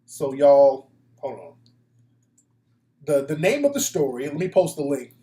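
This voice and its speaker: Male American talk host, slightly high voice, blunt and profane, off-the-cuff monologue, roomy echo. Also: very animated, unhurried, warm tone. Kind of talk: casual opinionated live talk show monologue. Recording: echoey room.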